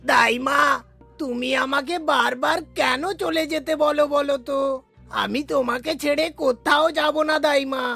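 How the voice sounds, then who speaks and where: A woman's voice: high voice, steady tone, normal speed, gravelly, sharp tone, full character voice. A woman voicing a poor, hungry, devoted orphan child in a cartoon, pleading plaintively. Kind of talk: cartoon voice acting. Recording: faint background music.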